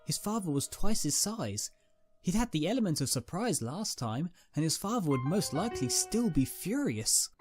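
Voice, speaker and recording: A man's voice: on the high side, big pitch swings, normal speed. A man reading a dramatic story as a scripted narrator. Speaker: narrator, reader. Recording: music behind the voice. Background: background music.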